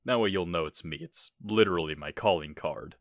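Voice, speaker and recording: A man's voice: low-pitched, a little fast, no echo. A man playing a nervous, bumbling burglar in an audio roleplay, stammering and bluffing with theatrical emphasis and indignation. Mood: wheedling. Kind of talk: ASMR audio roleplay acting.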